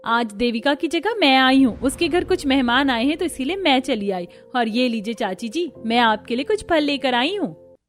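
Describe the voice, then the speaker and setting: A woman's voice: high-pitched, lively intonation, normal speed, faint music behind. A woman voicing a caring, respectful young female cousin in a cartoon story, with a soothing, eager manner. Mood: respectful, affectionate. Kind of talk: Hindi cartoon story acting.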